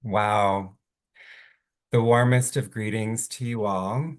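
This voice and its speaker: A man from Canada, a creative wellness coach, introducing himself in an expressive, theatrical way. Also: fairly low pitch, slow. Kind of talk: casual self-introduction.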